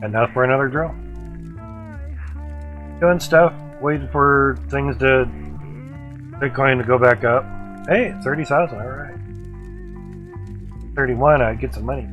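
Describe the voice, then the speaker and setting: A man's voice: medium pitch, a little slow, pauses often, lo-fi sound, soft background music. A man livestreaming a video game with casual, rambling commentary in short clipped phrases. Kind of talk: casual gameplay commentary, chatting with viewers.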